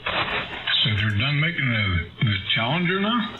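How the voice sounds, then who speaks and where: A man's voice: medium pitch, very animated, a little slow, highs cut off. A man talking casually over amateur radio in an informal, rambling way. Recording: noisy amateur radio transmission.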